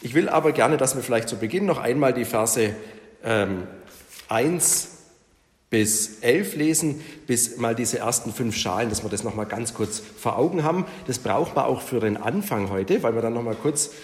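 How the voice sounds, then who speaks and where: A man with a medium-pitched voice leads a Bible study in an informal, interactive teaching style. He speaks to a group in a reverberant hall, with some faint noise behind him. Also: moderate pace. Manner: informal, questions the group and repeats their answers. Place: reverberant room with a group present. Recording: echoey hall with background noise.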